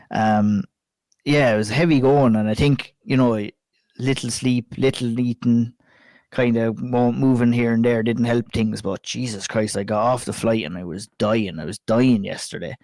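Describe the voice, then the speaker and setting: An Irish man hosting a casual podcast chat, a bit hoarse and croaky from a flu, chatty and sweary. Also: mid-range voice, fairly even intonation, moderate pace, darkish tone, no echo. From Ireland. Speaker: podcast host.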